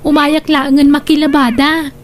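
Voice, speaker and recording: A woman's voice: high-pitched, flat delivery, moderate pace, close to an everyday voice. A woman playing a meek, obliging laundrywoman in a radio drama, deferential, with an Ilocano accent. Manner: deferential, accommodating. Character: meek, obliging poor laundrywoman, a dutiful daughter. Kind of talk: Filipino radio drama acting.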